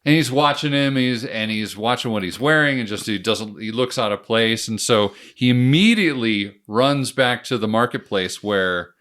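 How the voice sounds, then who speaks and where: A man's voice: mid-range voice, moderate pace, few pauses, fairly bright tone. A man co-hosting a podcast in casual chat, rambling, laughing often and correcting himself. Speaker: podcast co-host.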